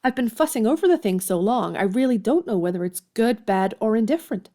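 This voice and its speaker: A woman's voice: a little high, expressive, a little fast, mellow, close to an everyday voice. A woman playing a headstrong young writer in a period audio drama, outspoken and emphatic. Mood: earnest.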